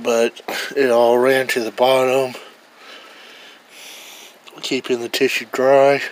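A man's voice: medium pitch, poor audio. A man casually reviewing gear outdoors on a deck, talking in a rambling, plainspoken way as he films with a handheld camera. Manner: rambling, plainspoken, thinks aloud.

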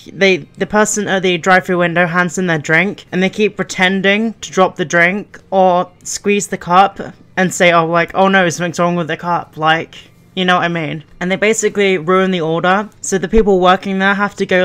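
Casual woman commentator, smooth and lowish voice. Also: a bit bright. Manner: informal, rambling. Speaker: YouTuber.

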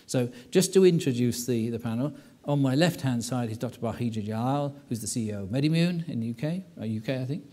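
A middle-aged man moderates a panel on a conference stage and introduces the speakers with easy, joking asides. His voice is dark and mellow, with a husky edge. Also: mid-range voice, fast talker, hardly any pauses. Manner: relaxed and joking, with fillers. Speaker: panel chair. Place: large hall, conference stage.

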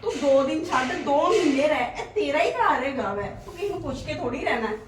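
A woman playing a patient elder sister-in-law who calmly placates and reasons in a family drama. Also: sits high, brisk, echoey room, distant mic, noisy background. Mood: coaxing.

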